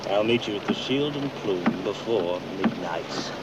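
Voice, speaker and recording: A conceited schemer in an old horror-comedy film. His mid-range voice is animated, arch and self-assured, heard at a distance through very heavy noise. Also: lowish voice, unhurried, dull audio. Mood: smug. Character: smooth, conceited schemer reassuring his lover. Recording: very noisy distant outdoor film sound.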